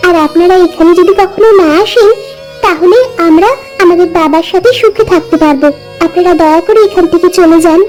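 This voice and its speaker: A woman voicing an earnest, devoted twelve-year-old village girl in an animated moral story, speaking with insistent emotion. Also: very high voice, fairly quick, faint music behind. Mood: resolute, devoted.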